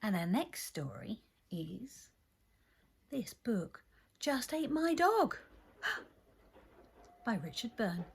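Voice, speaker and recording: A woman reading a picture book aloud to young children, expressively, with dramatic pauses and hushed phrases. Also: a little high, sing-song, vocal fry, husky. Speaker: children's story reader.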